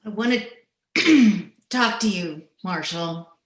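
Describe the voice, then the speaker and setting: A woman's voice: mid-range voice, takes it slowly, natural voice. A woman playing a bitter, selfish old frontier widow in an old-time radio western, with taunting, rustic phrasing. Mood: sharp, scornful. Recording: roomy sound, recorded over internet call.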